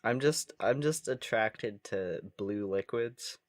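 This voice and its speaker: A man's voice: on the low side, slow pace, no room sound, studio quality. A man co-hosting a podcast in casual comedic banter, rambling along playfully. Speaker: podcast co-host.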